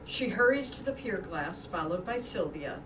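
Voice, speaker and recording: Woman reading stage directions, animated pitch, distant mic, noisy recording. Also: normal speed. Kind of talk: scripted narration. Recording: distant roomy recording with background noise.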